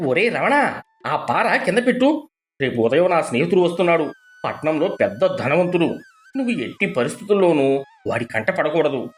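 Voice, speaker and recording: A man's voice: fairly high pitch, natural voice. A man playing a boastful, status-anxious middle-aged village father in a cartoon moral story, pompous and blustering. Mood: bossy. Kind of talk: animated moral story dialogue.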